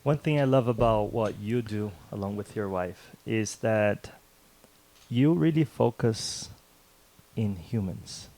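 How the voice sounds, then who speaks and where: A man's voice: on the low side, slow, warm tone, poor audio. A man with a Brazilian accent, hosting the interview in a friendly, playful, affirming way. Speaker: interviewer, host. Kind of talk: conversational interview.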